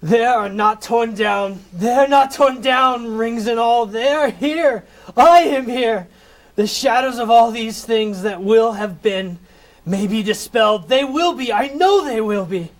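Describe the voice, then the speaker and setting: A man's voice: very high-pitched, measured pace. A man playing a repentant old miser in a theatrical, emotional style for an amateur comic audio play. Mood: giddy joy. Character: repentant old male miser, desperate then giddily overjoyed.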